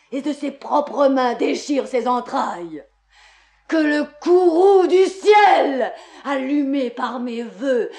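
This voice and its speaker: A woman's voice: high-pitched, a little mellow, full character voice. An actress playing a grief-stricken young Roman woman cursing her homeland, in vehement classical French verse declamation.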